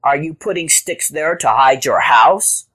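A woman's voice: low-pitched, animated, unhurried, a bit bright, exaggerated voice. A woman voicing a tough, scornful male leopard in an animal folktale, snorting and boastful. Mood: curious.

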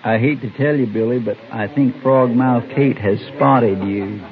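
An actor playing an amiable, mild-mannered frontier sidekick in a radio Western, talking in a folksy way. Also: male voice, medium pitch, measured pace, crowd noise, lightly acted. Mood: mild, teasing. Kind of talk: old-time radio Western acting. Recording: tinny vintage radio recording.

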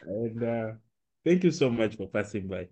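Male podcast host, lively pitch, affirming, hesitant fillers. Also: moderate pace. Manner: affirming, says "nice" often, hesitant fillers. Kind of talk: conversational interviewing.

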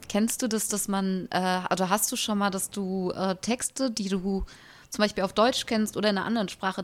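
Young woman, podcast co-host, flat steady tone, reflective, searches for words. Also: medium pitch. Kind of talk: casual conversation.

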